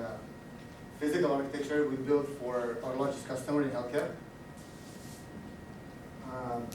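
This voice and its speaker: Man with a Romanian accent, conference speaker, halting delivery, echoey room, distant mic, audible background noise. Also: mid-range voice. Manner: halting, many "uh" fillers, restarts. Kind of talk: technical conference talk.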